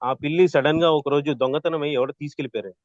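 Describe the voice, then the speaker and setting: A man teaching an interactive online class as a trainer, explaining with examples and often checking in with a quick "right?". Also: on the high side, fairly even intonation, no room sound, lo-fi sound. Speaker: voice modulation trainer.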